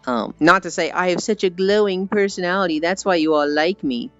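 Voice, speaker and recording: A man with an American accent talks casually to his livestream audience in a clean, smooth voice. He rambles and pokes fun at himself with the odd swear word. The audio is a little muffled, and music plays faintly behind him. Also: high voice, lively intonation, fairly quick. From United States. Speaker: livestreamer chatting with viewers. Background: background music.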